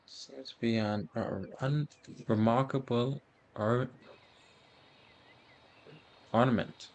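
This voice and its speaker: Gravelly man casually commenting on a game. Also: medium pitch, steady tone, takes it slowly, muffled recording. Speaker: game streamer.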